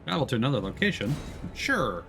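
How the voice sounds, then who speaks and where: A man giving casual live commentary as he plays a game, musing aloud in an unhurried way. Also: medium pitch, big pitch swings, gravelly. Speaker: game streamer. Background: game music.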